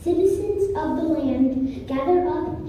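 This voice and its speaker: A female reader as a high-voiced commanding king, echoing. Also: a little slow, mellow. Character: stern, commanding male storybook king making proclamations. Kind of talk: classroom reader's theater proclamation. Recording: reverberant distant hall, faint noise.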